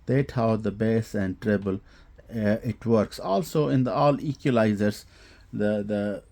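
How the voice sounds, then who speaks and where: A man giving an unscripted technical product demonstration, explaining haltingly with frequent hesitations and repeats. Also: fairly low pitch, creaky, warm tone. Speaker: host demonstrating audio equipment.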